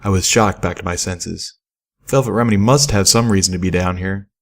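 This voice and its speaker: A male audiobook narrator with a fairly low voice. He reads scripted first-person fiction as the teller of the tale. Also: a little fast.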